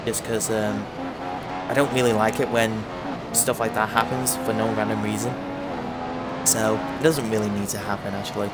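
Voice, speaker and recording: A hoarse young man giving a chatty, rambling play-by-play of a racing game. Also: on the low side, flat delivery, moderate pace. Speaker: gaming commentator. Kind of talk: casual racing game commentary. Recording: loud game music underneath.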